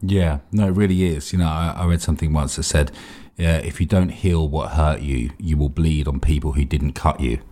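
Male with an extremely low voice for candid, informal conversation; halting delivery, casual swearing. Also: fairly even intonation, dark tone.

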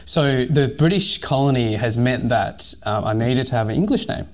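A man's voice: medium pitch, lively intonation, normal speed. A man from Hong Kong in his thirties, a physiotherapist and pilot telling his story in a casual podcast interview, full of ums and restarts. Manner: rambling, many fillers and restarts.

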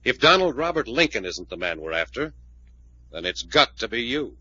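Man playing tough investigator, sardonic and probing, lively swings of pitch, old radio drama, muffled vintage recording. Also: normal speed, very noisy, natural voice. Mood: firm, accusing. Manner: blunt, interrogating, sardonic. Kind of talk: 1940s radio drama acting.

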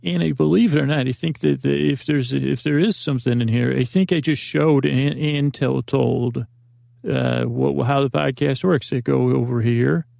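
A man's voice: medium pitch, steady tone, moderate pace, vocal fry, a little mellow, dry recording, clean studio sound. A man hosting a podcast, rambling through a comic monologue full of restarts and self-interruptions, acting out imagined exchanges. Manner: acts out imagined dialogues. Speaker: podcast host.

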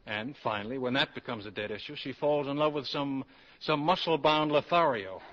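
A man plays a commanding, impatient professor in love in an old radio drama. His voice is forceful and mid-pitched, brisk and persuasive. The recording is dull. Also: natural voice. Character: insistent, exasperated college professor in love, commanding. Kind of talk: 1940s radio drama acting.

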